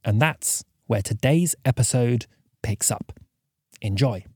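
A man hosting a podcast, reading scripted announcements in a courteous, deliberate way. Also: medium pitch, darkish tone, husky, no echo, studio quality. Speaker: podcast host.

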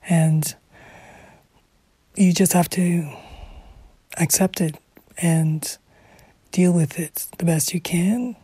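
Middle aged lady, really breathy raspy voice, slow and halting, talking about her life. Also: low-pitched, warm tone, no room sound. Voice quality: breathy, whispery damaged voice. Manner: halting, short phrases. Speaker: interviewee, former teacher. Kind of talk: reflective personal interview.

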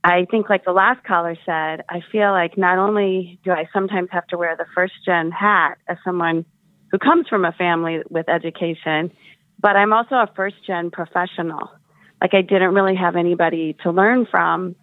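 A woman's voice: on the low side, fairly even intonation, moderate pace, no echo. A woman in her forties, a professor calling in, speaking in a reflective, articulate way. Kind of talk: phone-in testimony. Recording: phone line.

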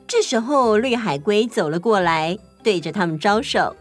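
一位女性为儿童故事做旁白，按稿讲述。. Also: female voice, medium pitch, animated, normal speed. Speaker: children's story narrator. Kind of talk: scripted children's story narration. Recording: music behind the voice.

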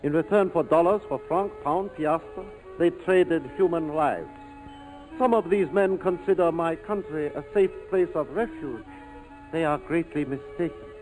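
Man playing a courteous, menacing police lieutenant, higher voice. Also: a little mellow, faint music behind, lightly acted, poor audio. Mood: stern, ominous. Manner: formal, measured, ominously courteous. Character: smooth menacing foreign police lieutenant, polite and formal. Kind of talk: 1940s radio acting.

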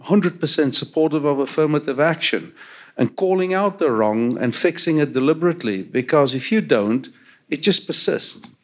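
A man's voice: a little high, expressive, moderate pace. Earnest South African man on a panel. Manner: earnest, emphatic, repeats words while thinking. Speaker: transit executive panelist. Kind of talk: panel discussion.

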